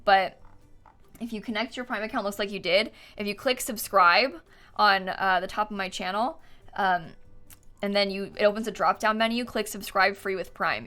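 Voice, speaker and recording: A woman's voice: medium pitch, pushed and bright. A young woman live game streamer chatting casually and informally with her viewers, with a giggly manner. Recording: music playing behind. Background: music, typing.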